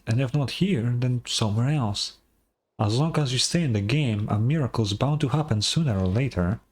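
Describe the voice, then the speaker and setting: A man's voice: medium pitch, natural voice. A man voicing a wordy, optimistic adventurer in a video game with a theatrical way of talking. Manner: verbose, theatrical.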